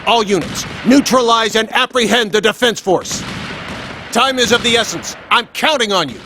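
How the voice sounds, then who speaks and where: An actor playing an urgent military officer giving battle orders in a video game. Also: male voice, high-pitched, moderate pace, close to an everyday voice. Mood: commanding. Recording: loud gunfire and battle noise.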